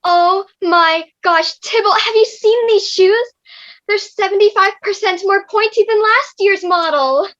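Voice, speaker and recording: A woman's voice: very high-pitched, a little slow, a bit bright, dull audio. A young woman playing a chatty, independent-minded thirteen-year-old girl in a comic stage reading. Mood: excited.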